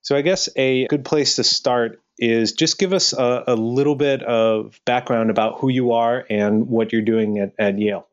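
A man's voice: mid-range voice, steady tone, normal speed, hardly any pauses, dull audio. A man hosting a podcast, introducing his guest and asking questions in a friendly, plain way. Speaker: podcast host. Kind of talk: podcast interviewing.

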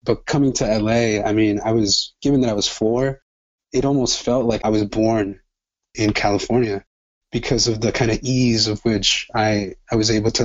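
Young guy from el salvador raised in LA, kinda low voice, thoughtful, lots of "like" and "kind of", muffled audio. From El Salvador, raised in Los Angeles. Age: 20s. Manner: thoughtful, reflective, frequent restarts and "like", "kind of". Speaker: guest, doctoral student. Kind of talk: conversational interview.

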